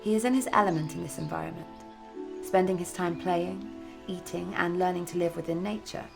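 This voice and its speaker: A woman's voice: fairly low pitch, fairly even intonation, brisk, creaky, over music. A woman reading a scripted video essay as its narrator.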